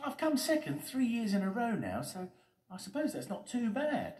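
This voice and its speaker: A man's voice: very high-pitched, huge pitch swings, moderate pace, a little mellow, echoey room, voice pushed up, full character voice. A man voicing an earnest, anxious but plucky lorry in a children's story. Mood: resigned.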